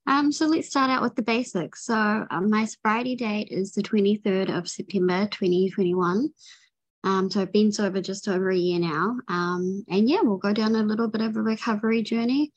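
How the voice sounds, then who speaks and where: A woman's voice: medium pitch, fairly even intonation, normal speed, lo-fi sound. A young woman with a New Zealand accent, sharing her recovery story in a tired, rambling way full of ums and restarts. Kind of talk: personal recovery share.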